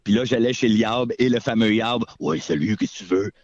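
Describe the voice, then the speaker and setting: A man's voice: lowish voice, fairly quick, no room sound, highs cut off. A man in his forties with a Québécois accent, a guest comedian telling stories in playful, self-mocking casual chat. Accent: Québécois French. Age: 40s.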